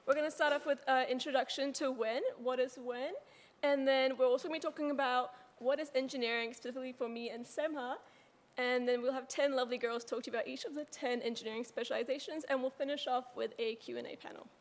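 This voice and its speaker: A young New Zealand woman, a student, presenting to an audience in a friendly, clear way. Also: sits high, fairly even intonation, fast talker, big-room sound. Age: young adult.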